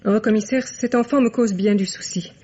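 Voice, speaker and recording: Husky, guarded older lady played by a woman. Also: mid-range voice, moderate pace, warm tone, lightly acted. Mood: anxious. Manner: formal, guarded. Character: haughty older aunt, anxious, well-to-do lady. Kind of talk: vintage French radio mystery acting. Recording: dull vintage radio recording.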